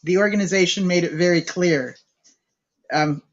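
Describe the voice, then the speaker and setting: A man's voice: sits high, normal speed. A man hosting a group call, speaking in a gushing, sincere and heartfelt way. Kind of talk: casual call-in chat. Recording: muffled call audio.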